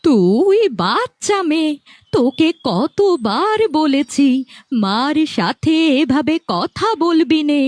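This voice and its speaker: Stern, high-voiced old grandmother played by a woman. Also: very animated, slow pace, no echo, studio quality, full character voice. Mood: scolding. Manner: scolding, admonishing. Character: stern old grandmother, scolding yet caring mother-in-law. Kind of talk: cartoon fairy-tale voice acting. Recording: faint background music.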